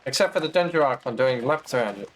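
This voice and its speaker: A man's voice: mid-range voice, expressive, quick pace. Chatty man streaming a game. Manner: chatty, talks back to game characters. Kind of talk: casual gameplay commentary.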